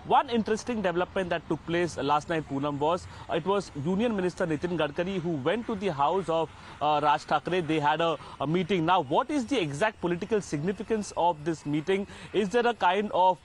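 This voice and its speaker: A man with an Indian accent giving a live field news report, halting and restarting his phrases. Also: sits high, lively intonation. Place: outdoors on a city street. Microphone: handheld reporter microphone. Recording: outdoor live link with street noise.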